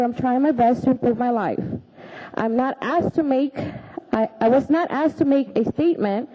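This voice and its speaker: A woman speaks at a podium, earnest and halting as she reads her prepared words. Her voice sits a little high. The recording is muffled and lo-fi. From Alaska, raised in the Philippines. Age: 20s. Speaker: public commenter. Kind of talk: reading a prepared statement. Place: city assembly chamber. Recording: dull lo-fi meeting recording.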